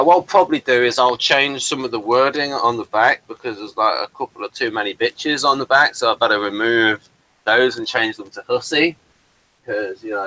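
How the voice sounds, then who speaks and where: A man's voice: medium pitch, bright, forceful tone, rough recording. Rambling man full of fillers. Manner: rambling, many fillers and restarts. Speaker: playwright showing his work. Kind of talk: casual chat on a video call.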